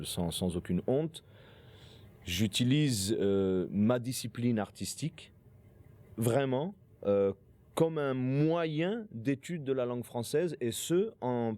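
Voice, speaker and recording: A man's voice: mid-range voice, mellow. A man speaking earnestly in an interview, hesitating with fillers.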